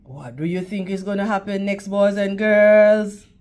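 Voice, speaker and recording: A woman's voice: mid-range voice, measured pace, darkish tone. A woman reading a children's story aloud in a teacherly way, addressing young listeners directly. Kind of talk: animated storytime narration with questions to children.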